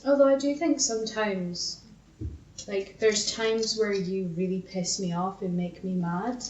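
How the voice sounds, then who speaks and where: A woman's voice: mid-range voice, measured pace. A woman co-hosting a podcast in casual, candid chat, swearing freely and acting out her own thoughts as she tells stories. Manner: sweary, animated storytelling with quoted self-talk. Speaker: podcast co-host. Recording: echoey room, dull muffled sound.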